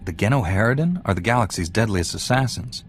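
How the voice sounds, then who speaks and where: Low-voiced, earnest heroic knight played by a man. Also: lively intonation, slight background noise, close to an everyday voice. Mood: calm, earnest. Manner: measured, sincere, polite. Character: earnest, dutiful male Jedi Knight, calm hero. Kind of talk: video game voice acting.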